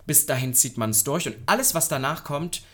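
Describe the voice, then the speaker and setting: A man's voice: a little high, expressive, normal speed, fairly bright tone. Chatty guy in his 20s rambling on a podcast, playful. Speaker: podcast co-host. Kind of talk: casual podcast chat.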